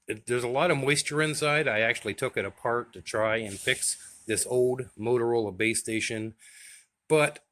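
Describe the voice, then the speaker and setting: A man's voice: on the low side, a bit bright. A middle-aged man talking casually and plainly, like an informal hobby vlogger showing off his gear. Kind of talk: casual vlog talk.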